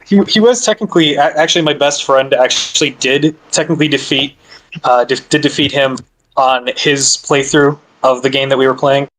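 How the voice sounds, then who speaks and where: A man's voice: medium pitch, normal speed, fairly bright tone, slight background noise, poor audio. A man chatting casually on a podcast, laughing often and restarting his sentences.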